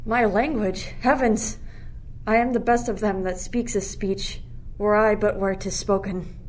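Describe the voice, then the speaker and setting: A woman's voice: mid-range voice, fairly even intonation, measured pace, darkish tone, close to an everyday voice. A woman playing a lovestruck, defiant young shipwrecked royal in a Shakespearean radio play, earnest and declamatory. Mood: amazed, smitten. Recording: faint background noise.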